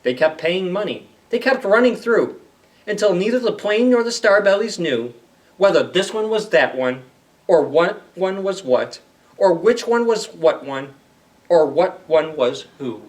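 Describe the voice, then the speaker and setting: A man with a moderately high voice leads a children's story time. He reads in an animated storytelling style and adds simple explanations and questions for young listeners. The microphone is a little distant. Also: a little slow. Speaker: library story time reader.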